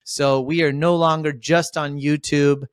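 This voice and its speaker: A man hosting a casual podcast, upbeat and rambling, with lots of shout-outs. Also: on the high side, level tone, unhurried, clear, resonant tone, no room sound, clean studio sound. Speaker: podcast host.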